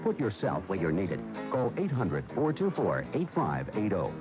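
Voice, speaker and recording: A man's voice: fairly low pitch, wildly swooping pitch, moderate pace. A man reading a scripted public service announcement on the radio. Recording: dull AM radio recording, music behind.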